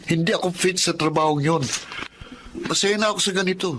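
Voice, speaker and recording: Man acting a modest, high-voiced young Filipino. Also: fairly even intonation, brisk, noisy background, natural voice. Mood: easygoing, evasive. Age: young adult. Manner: casual, self-effacing. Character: modest, easygoing young office worker lacking self-confidence. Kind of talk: Filipino radio drama acting.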